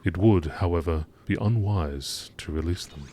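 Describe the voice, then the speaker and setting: A man narrating scripted science-fiction lore entries in a measured, formal documentary style. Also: deep voice, warm tone.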